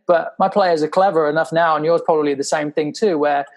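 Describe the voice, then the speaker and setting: A man's voice: on the high side, steady tone, brisk. A man hosting a casual podcast interview, chatty and often repeating words as he thinks. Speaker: podcast host.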